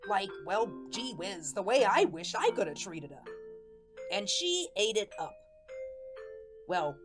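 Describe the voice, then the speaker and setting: A woman playing the earnest, hapless ghost of a murdered tough guy in a radio drama, talking in folksy slang. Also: medium pitch. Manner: folksy slangy speech with dropped grammar and rhetorical questions. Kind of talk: radio drama first-person monologue.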